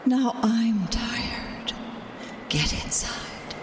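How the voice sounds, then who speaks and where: A woman playing a stern, brooding female wind spirit in an audio drama, with breathy whispering and solemn phrasing. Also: on the high side, expressive, drawn-out words, distant mic. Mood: urging. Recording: noisy, set back from the mic.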